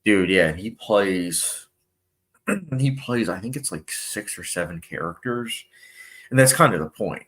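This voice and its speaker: A man's voice: on the low side, animated, unhurried, a bit bright. A young man hosting a casual live stream, reading out viewer comments and chatting loosely with plenty of fillers. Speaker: movie channel host.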